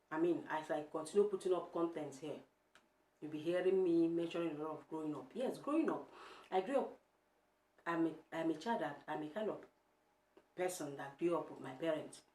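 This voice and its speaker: A young Nigerian woman with an Igbo accent and a low, deep voice, vlogging from home. She talks emphatically and keeps putting direct questions to the viewer. The room sounds echoey. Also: moderate pace, warm tone. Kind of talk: casual opinion vlog.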